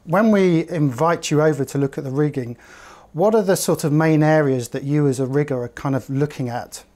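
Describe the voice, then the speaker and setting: A man in his forties hosting a sailing vlog, speaking in a relaxed, friendly way on a lapel mic. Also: on the high side, normal speed, no echo. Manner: friendly, affirming, backchannels. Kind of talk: casual chat.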